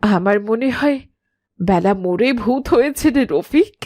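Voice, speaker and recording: A woman's voice: a little high, expressive, unhurried, warm tone, dry recording, studio quality. A woman voicing a kindly, anxious elderly village mother-in-law in a cartoon, fretful and tender. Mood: alarmed. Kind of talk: animated cartoon voice acting.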